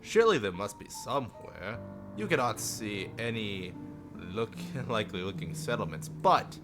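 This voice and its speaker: A man's voice: lowish voice, sing-song, normal speed. A man livestreaming, reading a visual novel aloud with joking asides and stumbling over the text now and then. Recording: music playing behind the voice. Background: music.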